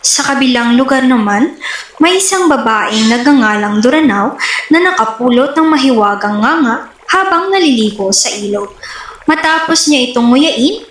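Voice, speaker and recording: A Filipino woman narrates a dramatization from a script. Her voice is on the high side, and the room around her echoes clearly. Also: lively intonation, normal speed, fairly bright tone, a little hiss or hum.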